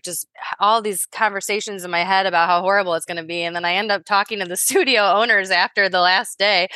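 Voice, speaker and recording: Bright-voiced chatty woman in her thirties. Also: medium pitch, dry recording. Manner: chatty, storytelling, with laughs and restarts. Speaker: podcast co-host, yoga teacher. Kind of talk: casual podcast chat.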